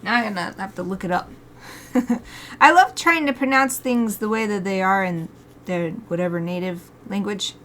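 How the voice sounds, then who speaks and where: A woman's voice: mid-range voice, moderate pace, a bit bright. A chatty woman from the States talks through a live drawing session, giggling often and thinking aloud. Her voice sits mid-range. A faint hum of room noise runs under the desk mic. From United States. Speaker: artist streaming live drawing. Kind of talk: casual chat while drawing live.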